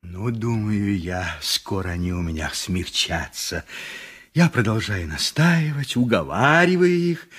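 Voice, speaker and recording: An actor playing a self-satisfied, conciliatory town judge in a radio play, authoritative yet coaxing. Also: male voice, lowish voice, expressive, unhurried, a bit bright, slightly rough, heavily acted. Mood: wry narration. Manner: authoritative, coaxing, narrating his own case. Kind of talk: 1980s radio play acting.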